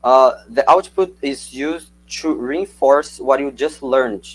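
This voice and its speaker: A man's voice: mid-range voice, slow, low-quality recording. A young man whose first language is Portuguese, reading a prepared slide presentation and asking for the next slide. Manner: reads prepared script, calls for slides. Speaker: student presenter.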